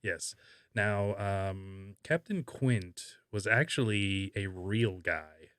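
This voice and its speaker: Low-voiced, halting male podcast host. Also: fairly bright tone, clean tone, no echo, clean studio sound. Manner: halting, thinking aloud. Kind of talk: casual conversational podcast talk.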